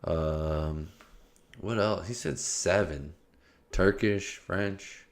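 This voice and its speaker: A man talks over a stream in a slow, unhurried drawl, pitched on the low side. He jokes, hesitates and restarts his sentences, and sometimes breaks into scatted filler syllables. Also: vocal fry. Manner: joking, hesitant, trails off and restarts, scats nonsense syllables. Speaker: streamer reacting to a video. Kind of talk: rambling casual commentary.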